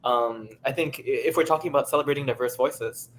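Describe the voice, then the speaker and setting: A man's voice: mid-range voice, fast. A man speaking earnestly and upbeat as a producer on a casual panel discussion. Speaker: audio drama producer and panelist. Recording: faint background noise.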